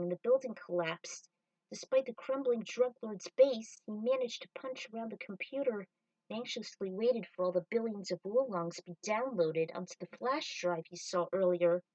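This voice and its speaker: A woman's voice: a little high, darkish tone, no room sound, recorded from a distance, poor audio. A woman reading scripted story narration, action scenes told in prose. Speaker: narrator and reader of a fan fiction story.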